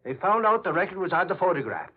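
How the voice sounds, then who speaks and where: A husky newspaperman, high in pitch and quick to panic. He blusters and exclaims in old 1940s radio style. The recording is dull and lo-fi. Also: sing-song, full character voice. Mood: eager. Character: excitable, easily frightened Irish-American newspaper man.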